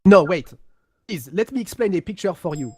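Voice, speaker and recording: Man putting on a pompous high-pitched space aristocrat. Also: expressive, dark tone, husky, no room sound. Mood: condescending. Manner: haughty, pompous, halting delivery. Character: pompous, condescending highborn alien aristocrat man of a galactic empire. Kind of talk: amateur role-play reading of game lore.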